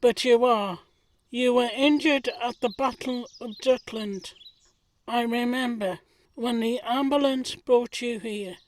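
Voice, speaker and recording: A woman playing a sensitive, earnest young male gardener in an audio play. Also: on the high side.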